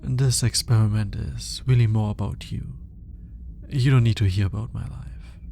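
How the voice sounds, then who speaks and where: A man's voice: lowish voice, steady tone, vocal fry, warm tone, slight background noise. A man playing an awkward, analytical alien scientist in an intimate ASMR roleplay, speaking in formal, hesitant musings. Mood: gently condescending. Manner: hesitant, formal, articulate musing with sighs and pauses. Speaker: voice actor.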